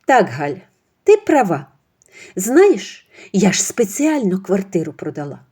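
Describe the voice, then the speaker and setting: A woman voicing a pushy, self-pitying older mother-in-law who talks in a high, wheedling tone. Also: big pitch swings, unhurried, dark tone, slightly rough. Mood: smug. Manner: shrill, wheedling, high-pitched.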